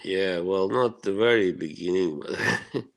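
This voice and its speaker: A man from Bosnia talks to his stream chat in a loose, rambling way. He swears freely and fills his talk with "you know" and little laughs. His voice sits very low and he speaks at an unhurried, slow pace. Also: vocal fry.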